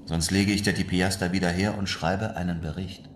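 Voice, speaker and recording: A man playing a cool, shrewd adventurer in a radio play, speaking calmly and with deliberate insistence. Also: low voice, close to an everyday voice. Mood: firm, insistent. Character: cool, shrewd European adventurer driving a hard bargain. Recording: echoey room with background noise.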